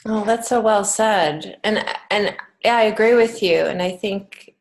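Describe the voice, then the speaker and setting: An American woman speaking as a podcast guest in a conversational way, earnest and encouraging, pausing to reflect as she goes. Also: mid-range voice. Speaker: guest, children's book author and writing teacher.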